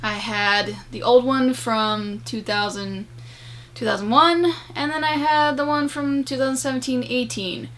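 A woman's voice: high-pitched, fairly even intonation, unhurried, fairly bright tone. A young adult woman giving a casual, emphatic movie review to camera in a room at home. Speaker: video host and game reviewer. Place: small room at home, indoors.

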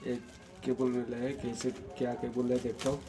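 A man's voice: medium pitch, level tone, normal speed. A young man from India giving a casual commentary as he hosts a product unboxing. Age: young adult. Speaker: unboxing video host. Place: just outside a room at home, seated.

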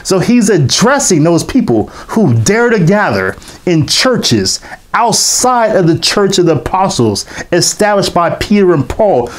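Animated man lecturing to camera. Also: high voice. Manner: animated, emphatic, expressive teaching delivery. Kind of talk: lecture to camera, reading quotations aloud.

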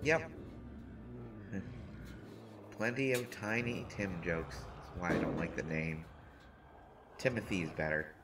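A deep, low-voiced man plays a timid little survivor who stumbles over his words and keeps restarting. He talks slowly and anxiously, rambling to fill silence. Audible hiss and ambient noise sit behind the close mic. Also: lively intonation. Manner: stammering, nervous, self-deprecating, rambling. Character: shy, nervous small young man survivor. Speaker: audio roleplay performer. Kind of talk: scripted audio roleplay monologue.

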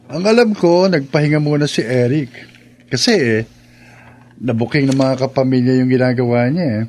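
A man's voice: medium pitch, normal speed, darkish tone, lightly acted. A man playing a cocky, shameless young man in a Filipino radio drama, with a mocking, boastful, playful delivery. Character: cocky, teasing, shameless young man, proud of his looks.

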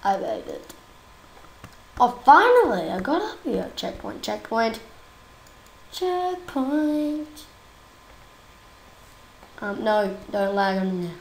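Croaky-voiced young woman, slow and giggly. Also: fairly high pitch, sing-song, roomy sound, a little hiss or hum, low-quality recording. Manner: chatty, giggly, easily exasperated. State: croaky voice from a cold. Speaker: gaming YouTuber. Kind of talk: casual gameplay commentary.

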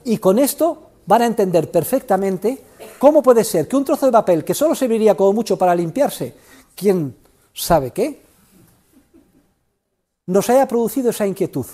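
Older guy lecturing a big class, high voice, goes yelling to muttering. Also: expressive, normal speed, long pauses. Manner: theatrical, emphatic, swings from shouting to low asides. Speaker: economics professor. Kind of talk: university lecture. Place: large university classroom.

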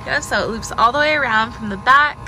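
A woman's voice: on the high side, fairly quick. A woman chatting upbeat while filming a home vlog in her backyard on a phone. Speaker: vlogger. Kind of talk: casual home vlog narration. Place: outdoors in a backyard. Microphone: handheld phone camera. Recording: background music bed.

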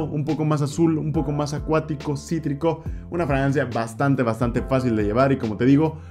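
A young man with a Mexican Spanish accent chats through his favorite scents, gesturing and repeating words for emphasis. His voice sits at an ordinary middle pitch. Soft guitar music plays faintly under him in a small indoor room. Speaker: fragrance reviewer. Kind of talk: casual review vlog. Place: indoor room with shelves of perfume bottles. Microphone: desk mic in front, camera at mid distance.

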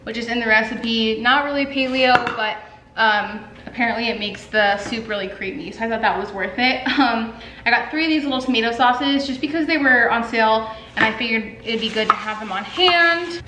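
A woman's voice: a little high, normal speed, noisy background. Chatty young woman vlogging at home. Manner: chatty, many "like" fillers, animated hand gestures. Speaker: artist vlogger. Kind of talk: casual vlog chat. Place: home living room and kitchen, indoors. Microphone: camera on a stand. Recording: echoey room.

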